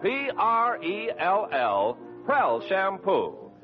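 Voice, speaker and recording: A polished American male radio announcer with a high, very animated delivery. He has upbeat 1940s salesmanship and comes through a muffled old broadcast. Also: extremely slow, soft background music.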